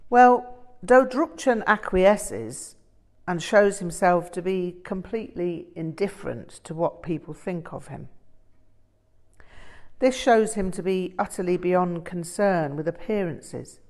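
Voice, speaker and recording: Eager, argumentative male student, respectful and emphatic, unhurried read by a woman. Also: lowish voice, darkish tone. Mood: emphatic, explaining. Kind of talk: audiobook character dialogue.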